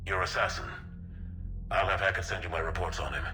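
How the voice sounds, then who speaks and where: An actor playing a weary, dogged older military officer in a video game, grim and deliberate in delivery. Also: male voice, deep voice, steady tone, pushed and bright. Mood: grim, wary. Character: weary, battle-hardened older male resistance officer, dogged.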